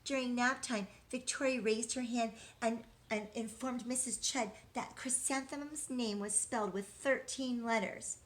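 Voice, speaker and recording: A woman's voice: on the high side, fairly even intonation, a little slow, hardly any pauses. A woman reading a picture book aloud to young children like a teacher, expressively, drawing out key words and checking in with her listeners.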